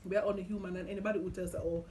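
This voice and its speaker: A woman's voice: mid-range voice, flat delivery, racing, a little mellow, slight background noise. A young adult woman with a Caribbean accent hosting a casual, candid conversation. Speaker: vlog host. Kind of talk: casual chat about faith. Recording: music underneath the voice. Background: music.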